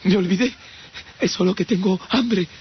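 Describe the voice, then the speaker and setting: A man's voice: high voice, normal speed, close to an everyday voice. A man in a radio drama playing a frightened shipwrecked captive, pleading politely and stammering. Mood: hungry despair. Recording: dull radio drama audio, faint noise.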